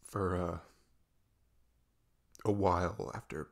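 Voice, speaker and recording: A man playing a depressed boyfriend opening up in an intimate ASMR roleplay, speaking haltingly and hushed, with a husky, gravelly, breathy voice. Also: sits low, fairly even intonation, full character voice. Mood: weary, confiding. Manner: halting, hushed, sighing, long pauses. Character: depressed, vulnerable boyfriend confiding long-hidden grief.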